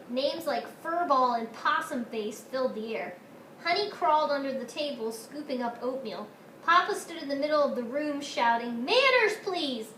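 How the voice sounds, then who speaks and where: A woman's voice: high voice, a bit bright. A woman reading a children's story aloud as narrator. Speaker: storybook reader. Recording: roomy echo with faint noise.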